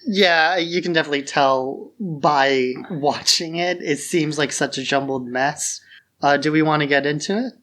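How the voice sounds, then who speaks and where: A man's voice: a little high, moderate pace, fairly bright tone. A man co-hosting a podcast about films in a casual, conversational style. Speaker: podcast co-host. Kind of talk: casual chat.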